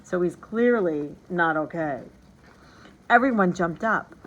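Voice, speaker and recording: A woman narrating a storybook aloud in an expressive, dramatic and deliberate way. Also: lowish voice, lo-fi sound. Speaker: teacher reading a storybook aloud.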